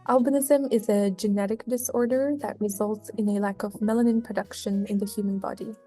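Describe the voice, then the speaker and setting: A young woman from Tanzania, a graduate student researcher, telling her story in a measured, earnest way. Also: mid-range voice, fairly even intonation, mellow, faint music behind. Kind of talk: personal storytelling documentary voice-over.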